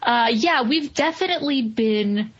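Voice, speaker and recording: A woman's voice: fairly high pitch, animated, unhurried, highs cut off. A woman co-hosting a podcast, talking through a film review in a casual, conversational way. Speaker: podcast co-host.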